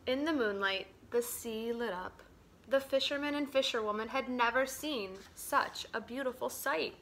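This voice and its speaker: High-pitched woman, bright voice, children's read-aloud, expressive storyteller, hushed drops and emphatic swells. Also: normal speed, slight background noise. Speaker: teacher reading a picture book aloud.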